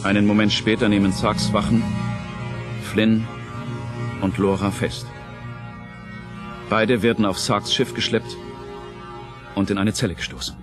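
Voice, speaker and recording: A man narrating a scripted audio drama in a deliberate, suspenseful manner. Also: on the low side, steady tone. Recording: loud music under the voice.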